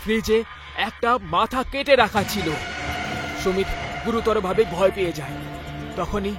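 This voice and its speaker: High-pitched male horror narrator. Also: normal speed. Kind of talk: dramatic scripted narration. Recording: loud music behind the voice.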